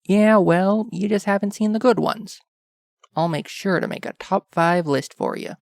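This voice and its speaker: A man's voice: high voice, normal speed, vocal fry, no echo. A man playing a cocky, flirtatious captive filmmaker who jokes wryly in an audio fiction drama. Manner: wry, mock-dramatic. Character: cocky, flirtatious captive male filmmaker, joking under interrogation.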